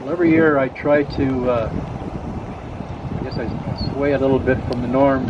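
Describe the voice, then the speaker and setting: A man who is a mayor giving a halting speech to schoolchildren at an outdoor ceremony, with many fillers and restarts. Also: medium pitch, steady tone. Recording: distant, muffled outdoor recording with loud background noise.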